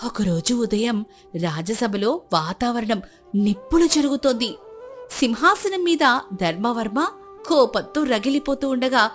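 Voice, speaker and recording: A woman's voice: a little high, lively intonation, a little slow, soft background music. A woman reading scripted narration of a folk tale as its storyteller.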